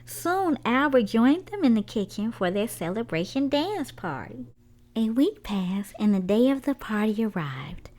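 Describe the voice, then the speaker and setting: A woman reading a children's picture book aloud in an expressive storytime style, putting questions to young listeners. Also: a little high, measured pace.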